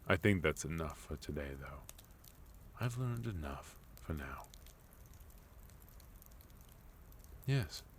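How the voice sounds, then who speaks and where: A man playing a sophisticated, courteous villain leader in a soft-spoken, gently teasing ASMR roleplay. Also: very deep voice, animated, measured pace, a little hiss or hum. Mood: smooth, teasing. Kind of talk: ASMR roleplay, intimate scripted monologue to listener.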